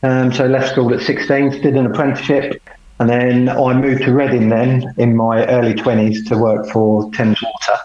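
A man's voice: medium pitch, flat delivery, moderate pace, a little mellow, slight background noise. Middle-aged man on a call. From England. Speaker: podcast guest. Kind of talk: casual conversation, telling his life story. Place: at home. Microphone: remote call. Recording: roomy, dull remote call audio.

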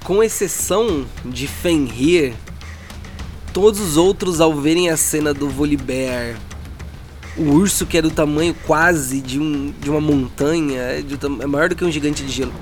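A man's voice: fairly high pitch, measured pace. Man narrating as game master. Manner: dramatic descriptive narration. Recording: with music bed.

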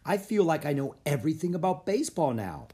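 A man's voice: fairly high pitch, big pitch swings, a little fast, mellow, voice pushed up. A man voicing an earnest, slightly cautious young boy adventurer in a children's storybook, eager and plain-spoken.

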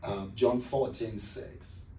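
A man's voice: fairly low pitch, steady tone, slow, warm tone, slight background noise. A middle-aged man with a Ghanaian accent, talking conversationally as a pastor being interviewed. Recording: roomy echo, distant microphone.

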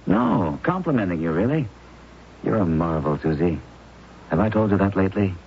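An actor playing an affectionate, teasing doctor husband in a 1970s radio drama. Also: male voice, sits low, very animated, moderate pace, vocal fry, heavily acted. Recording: dull old radio recording with hiss.

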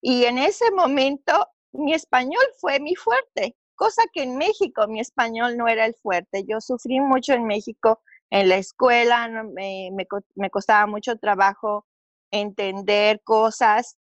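A woman's voice: a little high, measured pace, no room sound, highs cut off. Woman, middle-aged, Mexican Spanish accent, earnest, reflective, fillers and restarts, dry recording. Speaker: interview guest. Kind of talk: conversational interview storytelling.

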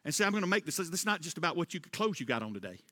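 A middle-aged man preaching a sermon, rising into loud emphatic refrains and dropping back to a quieter tone. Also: on the high side, very animated, rapid-fire. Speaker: pastor. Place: church sanctuary. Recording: long church reverb.

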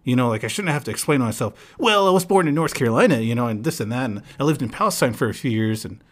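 A man from the United States hosting a casual podcast chat and telling stories in a relaxed way, often slipping into quoting what people said to him. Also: medium pitch. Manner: relaxed storyteller, quoting people in quick asides. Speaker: podcast host.

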